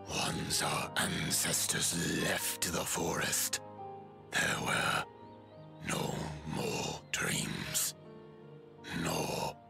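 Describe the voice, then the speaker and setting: A man playing a solemn giant elder in a video game, with halting, weighty, formal speech and a gravelly voice. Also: exaggerated voice. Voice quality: very deep, gravelly. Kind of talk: video game cutscene acting.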